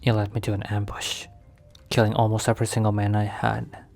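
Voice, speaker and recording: An actor playing a protective mafia boss boyfriend in an intimate ASMR roleplay, speaking slowly and hesitantly in a confiding, sometimes breathy way. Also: male voice, on the low side, monotone, darkish tone, faint music behind. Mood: somber, worried.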